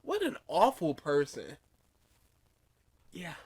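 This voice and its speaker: A man's voice: a little high, wildly swooping pitch, takes it slowly, a little mellow, husky. A man co-hosting a casual podcast, firing off incredulous rhetorical questions. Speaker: podcast co-host.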